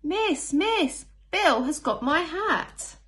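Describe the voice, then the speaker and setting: An indignant little storybook schoolchild, read by a woman, calls out to tell on a classmate. The voice jumps up high and loud, exclaiming each complaint. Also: expressive, drawn-out words, full character voice. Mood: indignant tattling. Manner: calling out, exclaiming. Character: indignant young school children telling tales to their teacher.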